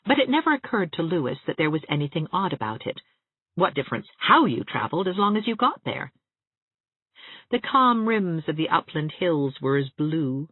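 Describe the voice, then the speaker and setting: A woman reading literary fiction aloud as an audiobook narrator, with an expressive storytelling delivery. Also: sits low, breathy edge, no room sound, phone-quality sound.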